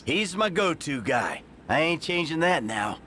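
Game role: sardonic middle-aged American male crook, blunt delivery, highish and animated, faint noise behind. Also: unhurried, exaggerated voice. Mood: dry, sarcastic. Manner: dry, sarcastic, blunt. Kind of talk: video game cutscene acting.

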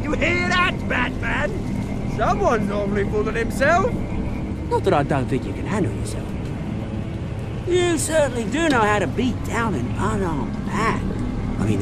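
An actor playing a vengeful, taunting British villain in a video game, theatrical and sneering. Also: male voice, high voice, huge pitch swings, a little slow, exaggerated voice. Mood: sneering taunts. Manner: theatrical, sneering, swinging into outbursts. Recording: loud music under the voice.

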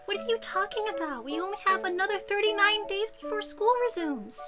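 Very high-pitched clingy anime girlfriend. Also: lively intonation, fairly quick. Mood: bubbly, insistent. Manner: sweet, insistent, scheming. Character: cute, pushy, clingy teenage anime girlfriend. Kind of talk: visual novel voice acting. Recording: music playing behind.

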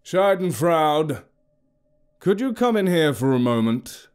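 Ancient, menacing male villain read by a man, calm and measured, low voice. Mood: cold.

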